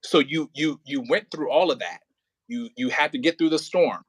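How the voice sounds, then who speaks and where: A man's voice: on the high side, normal speed, breathy edge, dry recording. Informal man directing a rehearsal, close dry sound. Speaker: audio play director. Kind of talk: casual rehearsal coaching.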